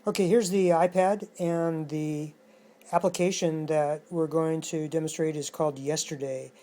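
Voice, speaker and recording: A man giving a casual hands-on technical demonstration as an electronics hobbyist, speaking in a halting, matter-of-fact way. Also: on the high side, steady tone, dark tone.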